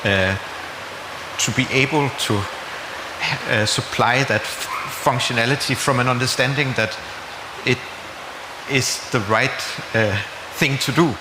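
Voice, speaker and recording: A man's voice: medium pitch, a little slow. A man answering questions at a conference, speaking hesitantly with many fillers. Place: conference room. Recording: echoey hall with room noise.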